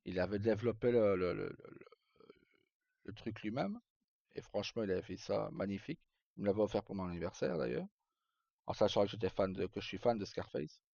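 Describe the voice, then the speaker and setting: A man chatting casually as a livestream host, telling roleplay stories in a halting, rambling way and often repeating words as he goes. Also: on the low side, creaky, warm tone, no echo. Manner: halting, rambling, frequent self-repetition. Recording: dull lo-fi mic, dry.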